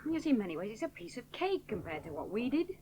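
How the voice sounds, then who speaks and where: A woman's voice: on the high side, sing-song, quick pace, crowd noise. A rueful woman pilot. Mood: wistful. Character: woman aviator, middle-aged, wistful and stubborn. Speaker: pilot in radio drama. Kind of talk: 1970s radio drama acting.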